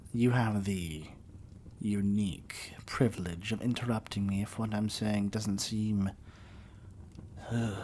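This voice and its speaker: A man playing a haughty, menacing evil king in an ASMR roleplay, speaking in a pompous, deliberate way full of disdain. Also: on the low side, a little mellow, slight background noise. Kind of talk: theatrical ASMR roleplay monologue.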